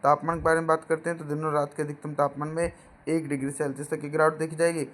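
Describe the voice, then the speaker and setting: A hoarse man presenting a regional weather forecast, steadily listing place names and addressing viewers as friends. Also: on the high side, level tone, fast.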